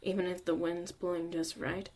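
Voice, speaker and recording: A woman acting a gruff, guarded but secretly caring young mage mentor in a zombie apocalypse ASMR roleplay, soft-spoken and often near a whisper. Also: lowish voice, level tone, moderate pace, close to an everyday voice. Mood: defensive, softening.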